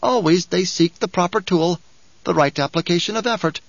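A man's voice: high voice, fairly quick, creaky, no echo, lo-fi sound, pitched above the performer's own voice. Bijaz, a man doing a whining, spiteful dwarf. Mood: taunting. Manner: whining, giggling, taunting. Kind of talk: audiobook character reading.

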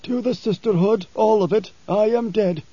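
Male narrator doing a blind old desert preacher, solemn, commanding, pitched up, dry dull recording. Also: mellow, breathy edge, dry recording. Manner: solemn, measured, raised pitch.

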